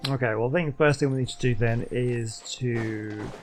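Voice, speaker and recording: A man's voice: medium pitch, moderate pace, over loud music. A man giving casual gameplay commentary, hesitantly thinking aloud as he plays. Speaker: gamer doing a playthrough.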